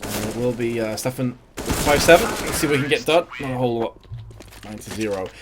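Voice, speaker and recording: A man's voice: mid-range voice, lively intonation, a little fast, noisy background. A young man casting a live esports match, his energy rising and falling with the action. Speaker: esports caster. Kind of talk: live play-by-play commentary.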